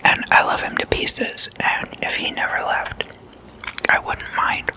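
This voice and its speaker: An ASMR host whispering in an intimate slumber-party chat, addressing listeners as guests and softly repeating words. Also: measured pace. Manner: repeats single words in soft trailing echoes.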